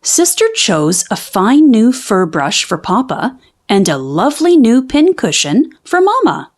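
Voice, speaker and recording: A woman reading a children's storybook aloud as an expressive narrator. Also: on the high side, measured pace.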